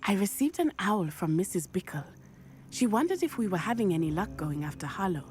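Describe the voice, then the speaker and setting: A woman's voice: mid-range voice, very animated, fairly quick, darkish tone, a little hiss or hum, close to an everyday voice. An actress playing a bold, determined teenage schoolgirl witch in a video game, firm and precise. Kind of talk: video game cutscene acting.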